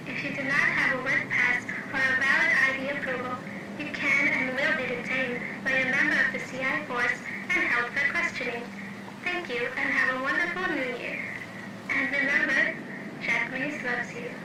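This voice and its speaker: An official female announcer acted by a woman addresses a cyberpunk city with formal authority. Her high voice sounds distant and drenched in echo. Loud city ambience runs behind the announcement. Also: moderate pace, heavy background noise, natural voice. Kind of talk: scripted public address in an audio roleplay.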